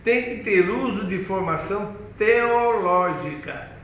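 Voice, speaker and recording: A man's voice: sits high, slow, a bit bright. Middle-aged man, pastor teaching an online lesson, conversational, speaks to the viewer, very echoey room. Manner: conversational, addresses the viewer directly, acts out student questions. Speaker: pastor and course director. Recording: echoey room, faint background hiss.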